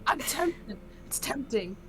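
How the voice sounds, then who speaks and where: A woman's voice: high-pitched, big pitch swings, slow, a crowd in the background, rough recording. A woman with a rural American country accent, chatting as a guest on a stream in a boisterous, rowdy way and swearing freely. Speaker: guest singer. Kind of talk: casual chat on a stream.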